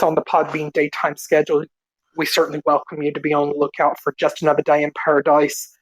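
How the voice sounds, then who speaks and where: A man co-hosting a podcast, politely reading out show plugs in an informal, halting way. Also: on the high side, no room sound, low-quality recording. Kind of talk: informal announcement of show schedules.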